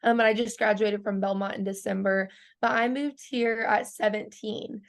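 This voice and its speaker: A woman's voice: medium pitch, fairly even intonation, normal speed, gravelly. A woman in her early twenties, a country singer chatting casually in an interview, filling her talk with "like" and laughing often. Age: 20s. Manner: bubbly, laughs often, lots of "like" fillers.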